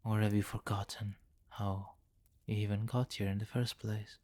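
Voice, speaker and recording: A man playing a possessive, commanding vampire king in an intimate ASMR fantasy roleplay, speaking formally and deliberately, with courtly, doting authority. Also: lowish voice, flat delivery, a little mellow, close to an everyday voice. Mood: probing, smooth.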